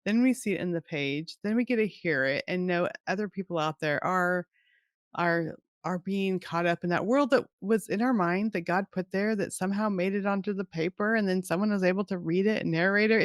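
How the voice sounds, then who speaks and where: A woman's voice: on the low side, fairly quick, no room sound, clean studio sound. A middle-aged woman hosting a video-call interview, chatty and gushing about books. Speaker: host. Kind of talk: casual interview chat.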